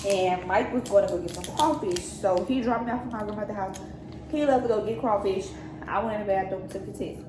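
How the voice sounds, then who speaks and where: A woman's voice: medium pitch, fairly quick. A young adult woman telling a personal story in a casual, conversational way, quoting exchanges as she remembers them. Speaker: daughter co-hosting a storytime vlog. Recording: echoey room, clear background noise.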